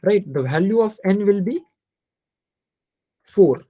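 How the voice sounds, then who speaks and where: An Indian-accented man teaches an online class in a soft, dark-toned voice with very little room sound. He works through each step aloud, switching between Hindi and English and often putting questions to his students. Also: sits high, expressive, slow, husky, no room sound. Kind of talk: interactive online lesson, working through problems aloud.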